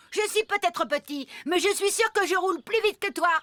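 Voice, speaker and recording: A woman's voice: very high voice, no room sound, heavily acted. A woman voicing a small, cocky, mischievous young vehicle in a preschool cartoon, with a childlike, playful delivery. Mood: boastful. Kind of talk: dubbed preschool cartoon acting. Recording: very loud music underneath.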